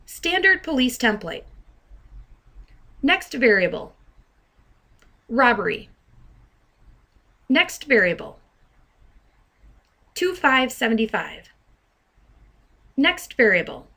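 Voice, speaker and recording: Higher-pitched woman giving a software demo. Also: very animated, slow, gravelly, long pauses. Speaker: software trainer giving a demonstration. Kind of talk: instructional screen demo narration with dictation. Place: voice-over to a screen recording.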